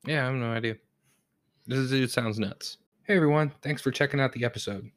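A man co-hosting a casual true-crime podcast, musing in a relaxed way and reading the show's outro. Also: mid-range voice, a little fast. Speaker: podcast co-host.

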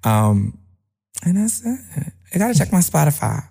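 A man's voice: fairly high pitch, sing-song, normal speed, creaky, dark tone, slightly rough. A man, a rapper guest talking in a laid-back, rambling, slangy way. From Brooklyn, New York. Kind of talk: casual interview chat.

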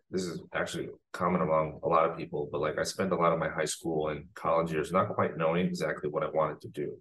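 Very deep-voiced man, reflective. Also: fairly bright tone, slight background noise, rough recording. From United States. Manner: reflective, thoughtful, many pauses. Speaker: guest filmmaker. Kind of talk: casual interview.

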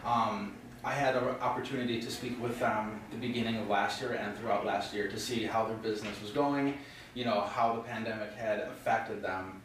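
A man's voice: lowish voice, fairly even intonation, normal speed, a bit bright. A man from Illinois in the United States, chatting casually as a business-owner guest in an interview. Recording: very echoey room, distant mic, faint noise.

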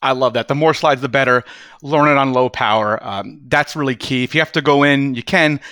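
A man's voice: mid-range voice, fairly quick, sharp tone, no echo, clean studio sound. A young man hosting a podcast, chatty and enthusiastic, introducing his guest with lots of energy. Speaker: podcast host.

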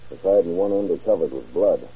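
A man's voice: low voice, lively intonation, fast, husky, exaggerated voice. A man playing a keen investigator in an old-time radio crime drama. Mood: inquisitive. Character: keen, hunch-following male investigator assisting sheriff. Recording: dull muffled old radio recording.

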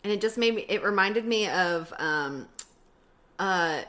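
A woman's voice: mid-range voice, lively intonation, unhurried, fairly bright tone. A woman in her thirties with a slight lisp, chatting casually as a podcast co-host and peppering her talk with "like". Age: 30s. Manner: chatty, fills speech with "like". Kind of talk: casual chat recapping a sitcom episode.

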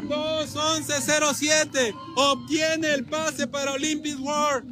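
A man calls a race finish live in a very high, sustained tone with little variation. An outdoor crowd sounds behind him. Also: little pitch movement, takes it slowly, music in the background. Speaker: sports commentator.

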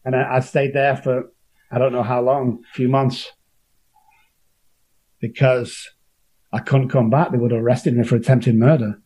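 A man's voice: medium pitch, little pitch movement, normal speed, pauses often, dark tone, breathy edge, poor audio. A middle-aged man with a Manchester accent, a guest telling his recovery story in an earnest, emotional way with frequent restarts. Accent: Manchester English. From Manchester, England.

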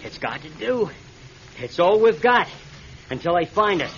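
A man's voice: high-pitched, big pitch swings, a little slow, heavily acted. An American man acting in a radio drama as an injured, practical, defensive pilot stranded after a crash. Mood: practical, urgent. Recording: dull, noisy old radio recording.

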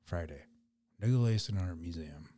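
A man hosting a podcast solo, with a raspy voice, chatting loosely and rambling in an unedited way. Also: low voice, lively intonation, normal speed, warm tone. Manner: rambling, loose, laid-back. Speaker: podcast host. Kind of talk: casual solo podcast chat.

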